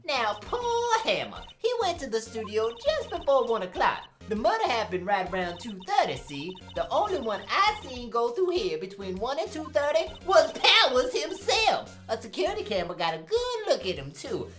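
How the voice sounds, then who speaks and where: A chatty old security guard from a video game, pitched fairly high and swooping all over. She is nosy and folksy, scolding and rambling over faint music. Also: huge pitch swings, normal speed, few pauses, fairly bright tone. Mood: chatty, smug. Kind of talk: exaggerated video game character acting.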